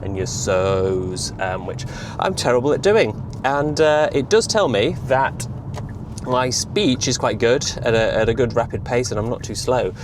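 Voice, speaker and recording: A man talking casually and hesitantly in a rambling vlog, with a fairly monotone delivery. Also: medium pitch, unhurried. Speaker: vlogger reviewing electric car charging. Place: inside a moving car. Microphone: in-car camera on windscreen or dash. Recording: loud road noise in a car. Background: loud car and road noise.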